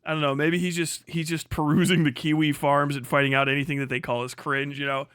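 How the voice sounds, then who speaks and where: High bright guy on a livestream, swearing and mocking, laughs a lot, dry close sound. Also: flat delivery, fast talker, smooth, no room sound. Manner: profane, mocking, laughing often. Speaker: livestream host. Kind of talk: livestream commentary rant.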